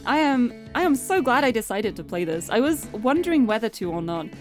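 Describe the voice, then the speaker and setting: Young woman, live-stream chatter, bubbly and quick to restart words, slightly high voice, a bit hoarse, game music behind. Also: very animated. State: voice getting tired and hoarse. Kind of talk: casual live-stream chat. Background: background game music.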